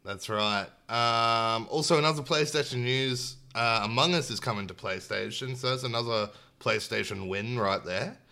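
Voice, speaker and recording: A man's voice: mid-range voice, normal speed, vocal fry, few pauses, bright, forceful tone. A man with an Australian accent hosting a gaming podcast in a casual, informal, jokey way. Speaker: podcast host. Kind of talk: casual gaming podcast chat and news rundown.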